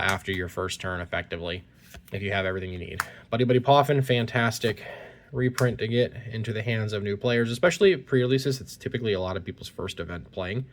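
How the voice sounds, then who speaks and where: Man, slightly lower voice, stuffy nose, casual unboxing chatter, phone mic. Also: a little hiss or hum. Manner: chatty, reads card text aloud. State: slightly congested from a cold. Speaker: card-opening YouTuber. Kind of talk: casual card-opening commentary. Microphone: phone recording at point-of-view angle.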